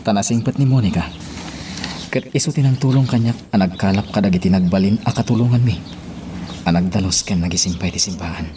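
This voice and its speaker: A man acting an earnest, low-voiced young parish priest. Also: a little fast, background noise, natural voice. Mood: earnest, solemn. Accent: Ilocano Filipino. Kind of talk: radio drama acting in Ilocano. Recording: music bed behind the voice.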